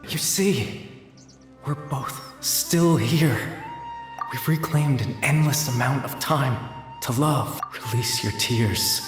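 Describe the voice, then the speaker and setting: A man playing a gentle, mysterious storyteller in a video game, soothing and solemn, reciting poetically through an ethereal reverb. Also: mid-range voice. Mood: tender, solemn. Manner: soothing, tender, deliberate. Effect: ethereal long reverb. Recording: echoing long reverb over soft music.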